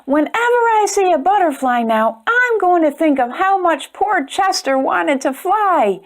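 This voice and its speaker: Slow, sing-song woman reading a kids' story. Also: very high-pitched. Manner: expressive, soothing storytelling. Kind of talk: children's read-aloud.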